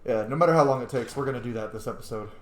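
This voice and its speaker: A man's voice: mid-range voice, rapid-fire. A man hosting a roleplay playthrough with casual, informal commentary, talking back to the characters. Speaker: gaming channel host playing a roleplay. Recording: echoey room.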